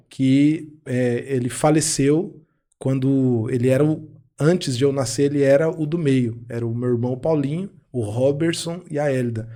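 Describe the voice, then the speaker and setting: A man's voice: mid-range voice, warm tone. A man in his thirties with a Brazilian accent, a pastor guesting on a podcast and casually telling his personal story. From born in Argentina to Brazilian parents. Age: 30s.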